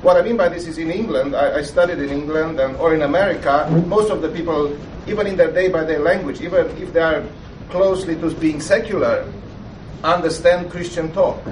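A man with a Romanian accent asking a halting, hesitant question from the audience in a lecture hall. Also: on the high side. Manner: hesitant, many fillers. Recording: echoey hall, voice from far off, background noise.

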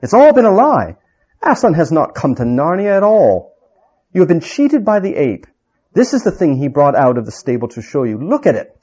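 A man's voice: a little high, very animated, fairly quick, gravelly, a little mellow, slightly rough, highs cut off, heavily acted. A man voicing a noble male king in a read-aloud story, speaking in an earnest, commanding way.

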